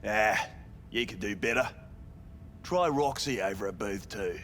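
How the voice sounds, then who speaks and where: A man's voice: on the low side, unhurried, a bit bright, a little hiss or hum. An actor playing a sleazy, cynical male brothel manager in a cyberpunk video game, talking in crude, dismissive slang. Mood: dismissive, snide. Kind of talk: video game dialogue acting.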